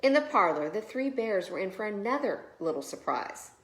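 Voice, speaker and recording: Female preschool storyteller, animated melodic delivery for children's picture books, recorded in an echoey room. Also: medium pitch, normal speed, slight background noise. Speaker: preschool teacher reading stories. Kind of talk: expressive children's storybook reading.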